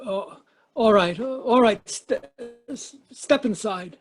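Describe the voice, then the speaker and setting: A man's voice: sits high, slow pace, soft background music. A man playing a stammering old eccentric. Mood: nervous. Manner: stammering, hesitant. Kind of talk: amateur radio drama reading. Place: home over video call.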